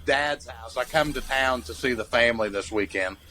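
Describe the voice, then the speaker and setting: A man's voice: mid-range voice, normal speed, pushed and bright, a little hiss or hum. A man, co-hosting a casual podcast from a basement den and telling profane, rambling stories. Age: 20s. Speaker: podcast co-host. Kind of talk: casual chat. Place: cold basement den in a house. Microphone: handheld mic.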